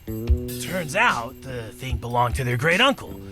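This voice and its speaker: A man's voice: mid-range voice, sing-song, takes it slowly, music in the background. A man playing a folksy, teasing old gas station attendant in a visual novel, telling tales. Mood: storytelling. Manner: drawling storyteller, folksy and teasing.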